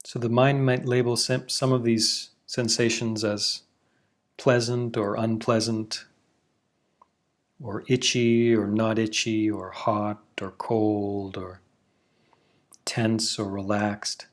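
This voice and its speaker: Calm, slow-speaking male meditation guide. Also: lowish voice. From Canada. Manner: slow, calm, soothing instructions with long pauses.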